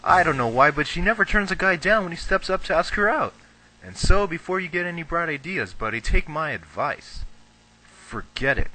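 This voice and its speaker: A man's voice: fairly high pitch, expressive, normal speed, bright, forceful tone, low-quality recording. A young man playing a cocky, gossipy, girl-crazy schoolboy in an amateur anime dub, talking in emphatic slang. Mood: advising.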